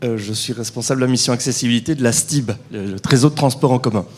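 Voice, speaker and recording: A man's voice: mid-range voice, fast talker, slight background noise. A man from Belgium, asking a frank, wry question from the floor at a conference. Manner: frank, wry, informal asides. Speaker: transit accessibility manager asking a question.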